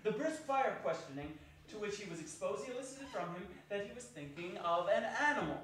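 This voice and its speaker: Male narrator, fairly high voice, staged literary reading, distant and very reverberant, slight noise behind. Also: animated, a little fast, a bit bright. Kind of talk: scripted theatrical narration. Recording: echoey hall, distant, faintly noisy.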